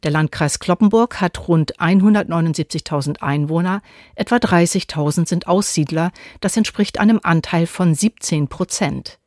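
A woman reporter narrating a scripted radio feature in a measured, even reading. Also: lowish voice, no echo, clean studio sound.

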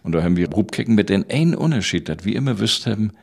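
Deep, gravelly northeast German man. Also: expressive, quick pace, a little mellow. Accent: Low German, Baltic coast of northeast Germany. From island of Rügen, northeast Germany. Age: middle-aged or older. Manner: anecdotal, colloquial. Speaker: interview guest. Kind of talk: casual storytelling chat.

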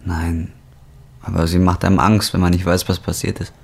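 A man's voice: low-pitched, flat delivery, normal speed, gravelly, breathy edge, heavily acted. An actor playing a bitter, broken man in his twenties in a German radio drama, with blunt, brooding delivery. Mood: guarded. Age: 20s. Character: bitter, broken 25-year-old former roofer on drugs.

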